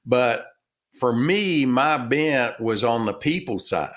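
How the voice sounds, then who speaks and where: Slow-talking older Texan man. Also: medium pitch, animated, a bit bright. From Texas. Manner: slow, halting, many fillers, folksy. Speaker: author and former business owner. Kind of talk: conversational interview answers.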